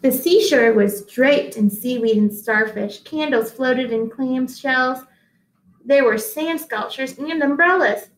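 A woman in her thirties reading a picture book aloud to children, deliberate and expressive, pausing to address listeners at home. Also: high-pitched. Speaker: read-aloud host, teacher. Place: small indoor office-like room. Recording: echoey small room.

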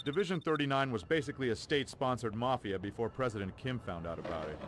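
Brisk army major for a game briefing, played by a man; medium pitch, quick clipped delivery, noisy ambience behind. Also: natural voice. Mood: businesslike. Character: brisk, matter-of-fact male army intelligence major. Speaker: army major, intelligence aide. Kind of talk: video game briefing dialogue.

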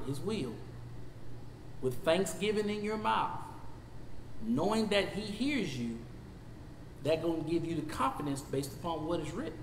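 A man in his fifties with a Southern US accent teaching a Bible study as pastor. He swings from shouting to low talk, recorded in a church sanctuary on a lapel mic. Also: echoey room, long reverb tail, a little hiss or hum, low-quality recording. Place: church sanctuary, seated before a projection screen.

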